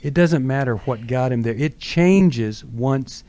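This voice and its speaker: A middle-aged man hosting a call-in radio talk show, speaking earnestly and emphatically with deliberate pauses. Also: mid-range voice, warm tone, no echo. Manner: earnest, emphatic, pausing for effect.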